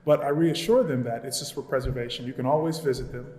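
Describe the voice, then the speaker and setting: A man on a panel talk speaking earnestly about archives, pausing often to find his words. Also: medium pitch, dark tone, a little hiss or hum. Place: gallery event space. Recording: echoey gallery room with long reverb.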